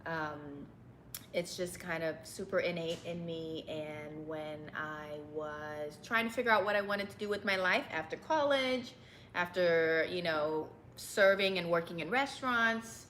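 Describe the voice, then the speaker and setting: A woman's voice: mid-range voice, animated, a little slow, a bit bright, slight background noise. A woman from Texas raised in Bangkok, a restaurant owner telling her story in a candid interview, with many ums and easy laughs. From Texas and Bangkok, Thailand. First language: Thai.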